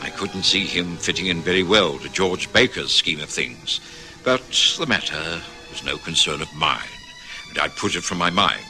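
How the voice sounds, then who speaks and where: Grave doctor, bright forceful delivery. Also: male voice, low voice, expressive, faint music behind, heavily acted, poor audio. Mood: reflective narrating. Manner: grave, measured, admonishing. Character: earnest caring male family doctor, gravely admonishing, narrating his memories. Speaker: actor and narrator in a radio drama. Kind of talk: old-time radio drama acting and narration.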